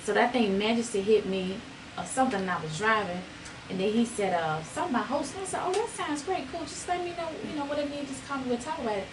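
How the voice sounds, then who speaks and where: A lively woman host tells stories in casual chat and laughs often. Her fairly high voice sits back from the mic, and a clear hum of noise runs underneath. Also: fast. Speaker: radio show host. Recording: distant mic with noisy background.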